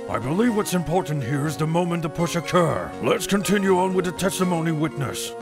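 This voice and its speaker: A man playing a stern, ponderous elderly judge who speaks formally and deliberately in a dramatic fan dub. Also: on the high side, lively intonation, over music. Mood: solemn.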